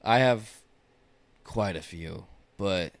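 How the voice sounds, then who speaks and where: A man's voice: sits low, takes it slowly, gravelly, dry recording. A man in his twenties co-hosting a podcast, chatting casually, rambling and swearing. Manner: rambling, swears, restarts a lot. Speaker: podcast co-host. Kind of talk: casual chat.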